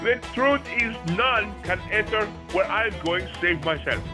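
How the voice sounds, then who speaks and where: Man acting an exasperated young storyteller, high-pitched. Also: expressive, unhurried. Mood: impatient. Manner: dramatic, archaic storyteller's phrasing. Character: exasperated, secretive young male lover telling his misadventure. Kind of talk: audio drama narration. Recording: music under the voice.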